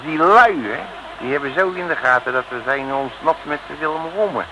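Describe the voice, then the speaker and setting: An actor playing a cheeky, cocky detective in an old Dutch radio serial, bantering in a brash, folksy way. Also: male voice, a little high, normal speed, noisy background, close to an everyday voice. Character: cheeky, cocky male detective on the run at sea. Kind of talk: 1950s-60s Dutch radio serial acting.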